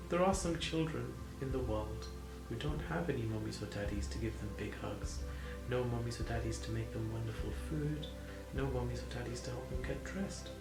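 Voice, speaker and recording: A man reads a bedtime story to small children with a very animated melody. He addresses the young listeners directly as he narrates. The room adds some echo, and music plays clearly behind him. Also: medium pitch. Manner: addressing small children, asking them questions. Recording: roomy, with prominent music behind.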